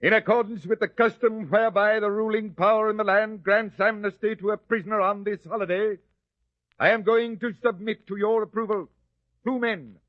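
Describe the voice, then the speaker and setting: An actor playing a proud but uneasy Roman governor who speaks condescendingly, in an old-time radio drama. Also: male voice, high voice, steady tone, normal speed, dry recording, natural voice. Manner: haughty and condescending, then hesitant. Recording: dry, dull lo-fi recording.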